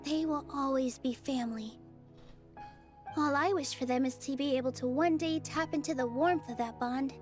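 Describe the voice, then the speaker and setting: A woman voicing a gentle, encouraging young dancer in a video game, speaking sweetly and sincerely. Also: high voice, normal speed, lightly acted. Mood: thoughtful. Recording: music faintly behind.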